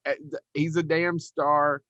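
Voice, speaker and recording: A man's voice: fairly high pitch, little pitch movement, unhurried, a bit bright, no room sound, muffled recording. A man chatting casually and bluntly as a podcast co-host, with some mild swearing. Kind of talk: casual chat.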